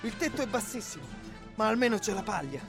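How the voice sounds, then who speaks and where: Creaky, urgent male actor as a fleeing rebel. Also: high-pitched, big pitch swings, fast talker, full character voice. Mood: frantic. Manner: urgent, breathless commands. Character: desperate young rebel fleeing a massacre. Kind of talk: radio drama acting. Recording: music behind the voice.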